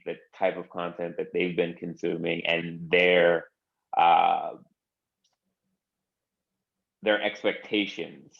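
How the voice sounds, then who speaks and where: A man talking as a panel guest on a video call, blunt and halting, often restarting his sentences. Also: low-pitched, creaky, muffled recording. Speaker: panel guest, communications leader.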